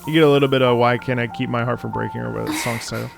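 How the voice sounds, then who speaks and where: Casting: male music commentator, mid-range with vocal fry, casual, thoughtful, halting, then emphatic. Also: mid-range voice, fairly even intonation. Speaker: reaction host, opera singer.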